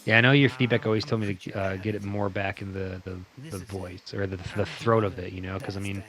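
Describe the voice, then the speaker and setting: A man, a filmmaker, talking in a relaxed, fairly monotone way during a commentary, with plenty of casual fillers. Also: sits low, brisk, crowd noise. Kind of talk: director's commentary chat.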